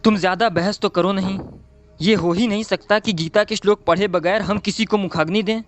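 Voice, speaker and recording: Man acting a high-voiced, stubborn village priest. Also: steady tone, moderate pace, no echo, soft background music, close to an everyday voice. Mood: insistent. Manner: insistent, self-righteous. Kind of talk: audio play acting.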